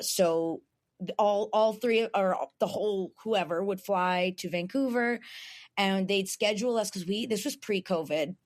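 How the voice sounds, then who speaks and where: Young woman, raspy voice, talkative podcast guest, frequent laughs. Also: lowish voice. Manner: chatty, laughs often, restarts. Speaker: guest voice actor. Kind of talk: casual podcast chat.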